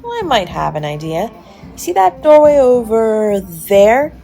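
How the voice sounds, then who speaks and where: A woman's voice: on the high side, big pitch swings, unhurried, gravelly, dark tone, heavily acted. A woman playing a charming, flirtatious stranger at a party, chatty, coy and teasing, in an intimate romantic audio roleplay. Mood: warm, inviting. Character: charming, playfully flirtatious adult party guest, smooth-talking stranger. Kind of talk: romantic audio roleplay, intimate one-sided dialogue to the listener. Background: music behind the voice.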